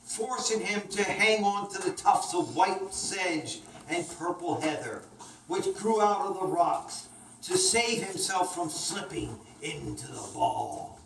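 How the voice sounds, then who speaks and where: A woman storyteller reads a children's tale slowly and dramatically. She is recorded from a distance in an echoey room, with clear noise behind her. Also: lowish voice, lively intonation. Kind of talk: scripted children's story narration. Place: indoor room, picked up from a distance.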